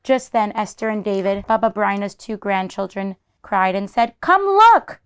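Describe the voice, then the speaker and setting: A woman reads a children's story aloud in the expressive style of a teacher. Her pitch is middling. She keeps her wording simple and clear for young listeners. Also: moderate pace. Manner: explains the story in simple asides.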